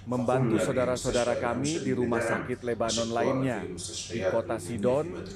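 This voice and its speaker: A man's voice: medium pitch, fairly even intonation, moderate pace. A man from hospital staff giving a formal statement for the news. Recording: echoey with loud crowd.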